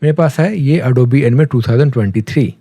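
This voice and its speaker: An Indian-accented man walks a learner through software in a casual, conversational way. His voice is mellow and relaxed at an average pitch, recorded very dry. He explains step by step, slipping in English terms and checking in as he goes. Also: fairly quick, no room sound. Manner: conversational, mixes English terms, often checks in with a tag phrase. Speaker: software tutorial instructor.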